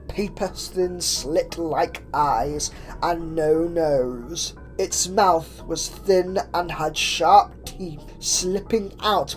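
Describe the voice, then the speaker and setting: A woman narrates a horror story in a theatrical, suspenseful way, with drawn-out phrases and hushed drops. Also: on the low side, rough recording. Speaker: fan fiction narrator. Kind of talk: dramatic horror story reading. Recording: clear background noise with ambience.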